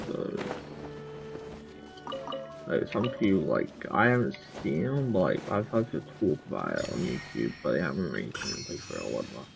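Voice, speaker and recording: Very deep-voiced man, casual gaming commentary. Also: big pitch swings, normal speed, gravelly, music in the background. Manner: rambling, repeats "like". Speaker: gaming streamer reacting to a story quest. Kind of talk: casual chat.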